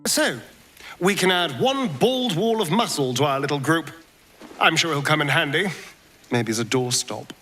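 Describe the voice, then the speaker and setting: An actor playing a catty, theatrical, sardonic rogue in a fantasy game. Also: male voice, on the high side, animated, normal speed, bright, forceful tone, soft background music. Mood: mocking.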